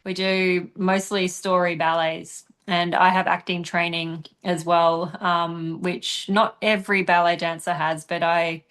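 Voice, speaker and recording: A young adult woman answering interview questions in a relaxed, chatty way, often laughing and pausing with ums. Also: lowish voice. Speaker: interviewed actress and dancer.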